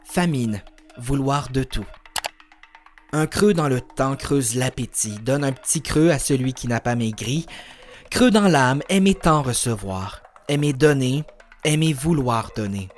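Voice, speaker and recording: A man reciting his own spoken-word poetry in a contemplative, literary way, in short measured phrases. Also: medium pitch, faint music behind. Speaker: poet reciting own work.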